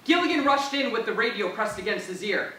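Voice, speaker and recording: Casual comedy host and stage narrator, amused delivery, distant mic, loud background noise. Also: medium pitch, sing-song, a little fast. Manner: amused, often breaking into laughter. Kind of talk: casual intro and comic script narration. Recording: echoey, very noisy room, off mic.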